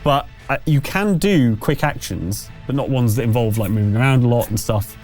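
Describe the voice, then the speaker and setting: A man's voice: mid-range voice, lively intonation, normal speed, darkish tone. A man acting as game master, narrating a tabletop game in a conversational, slightly hesitant way while explaining what happens. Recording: music playing under the voice. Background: background music.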